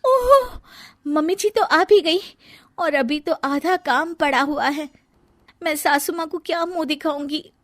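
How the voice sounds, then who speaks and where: A woman's voice: high voice, a little slow, a bit bright, no room sound, voice pushed up. A woman voicing a young shape-shifting snake woman who is a polite new bride, talking in a dutiful, easily flustered way. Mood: panicked.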